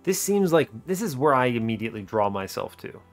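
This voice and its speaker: A man giving strategy game commentary as host, thinking aloud in a deliberate, explanatory way and often questioning his own choices. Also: mid-range voice, big pitch swings, faint music behind. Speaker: gaming video host.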